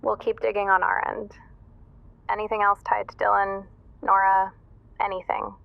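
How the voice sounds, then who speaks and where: A sharp young female investigator on a phone call in an audio drama. The sound is slightly muffled and lo-fi. Her voice has a creaky, gravelly edge and she speaks bluntly. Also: mid-range voice, little pitch movement, moderate pace, no echo. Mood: alarmed, urgent.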